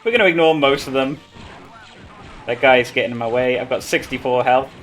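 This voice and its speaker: Male speedrunner, average-pitched voice, chatty live gameplay commentary with light music underneath. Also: a little fast, fairly bright tone. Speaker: speedrunner playing live at a gaming marathon. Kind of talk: casual live game commentary. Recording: game music behind the voice.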